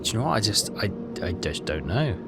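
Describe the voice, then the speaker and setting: A man's voice: fairly low pitch, big pitch swings, fairly quick. A man giving casual game commentary and reading text aloud, hesitant and repeating himself as he thinks aloud. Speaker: let's player. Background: game music.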